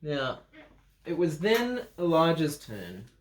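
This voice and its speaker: A man's voice: on the high side, wildly swooping pitch, slow, slight background noise. An Australian man reading a children's picture book aloud at a church, with playful chatty asides. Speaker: children's story reader. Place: church room. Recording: roomy echo.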